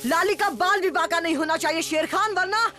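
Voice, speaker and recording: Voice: extremely high pitch, brisk, bright, forceful tone, faint music behind. An actor voicing a bold, suspicious cartoon boy raised by wolves, speaking earnestly and firmly. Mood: defiant. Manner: earnest, firm, challenging. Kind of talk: animated cartoon dubbing.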